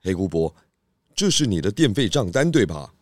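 A calm pig detective. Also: male voice, low-pitched, moderate pace, no room sound, studio quality. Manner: calm, confident explaining. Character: calm clever pig detective, male adult. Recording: music bed under voice.

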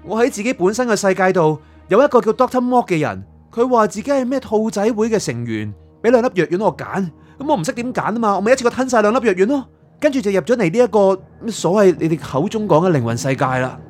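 A man's voice: high-pitched, lively intonation, fast, a little mellow. Male actor as lost, naive young man in fantasy radio drama; Hong Kong Cantonese, hesitant, pleading, light music underneath. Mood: nervous, bewildered. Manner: hesitant, stammering. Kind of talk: radio drama acting with first-person narration. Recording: music behind.

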